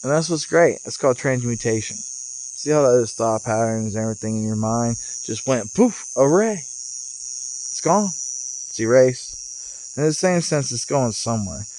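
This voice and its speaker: Guy doing a loose spiritual ramble outdoors, musing with pauses, mid pitch, bugs chirping behind him. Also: lively intonation, a little mellow, a little hiss or hum, poor audio. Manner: casual, musing, phrases broken by pauses. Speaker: vlogger and spiritual guide. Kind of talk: rambling off-the-cuff spiritual monologue. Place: outdoors, sitting in a rocking chair. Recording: outdoor recording with chirping insects. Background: cicadas or crickets.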